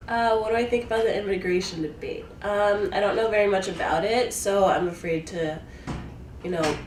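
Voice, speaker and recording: A woman's voice: mid-range voice, normal speed, darkish tone, echoey room, far from the mic. An eighteen-year-old woman from the United States answering interview questions in a casual, hesitant way, with lots of "um" and "like". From Ohio, USA; born in Taiwan. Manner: hesitant, many fillers like "um" and "like".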